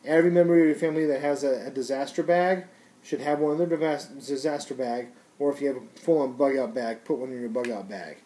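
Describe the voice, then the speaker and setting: A man's voice: medium pitch, fast talker, dark tone. A man giving a casual, unscripted product review in a rambling, conversational, slangy way.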